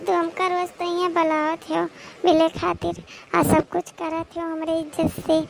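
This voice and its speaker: Woman doing super high squeaky cartoon village sweetheart, awadhi accent, whiny and worried, bit of background noise. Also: little pitch movement, measured pace, gravelly, heavily acted, poor audio. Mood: pleading. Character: anxious, clingy young village woman in love. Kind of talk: cartoon comedy dialogue.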